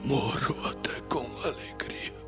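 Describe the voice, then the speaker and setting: An actor playing a dying, proud father in a radio drama, declaiming in a drawn-out way. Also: music in the background, lightly acted. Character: dying father proudly leaving a moral legacy.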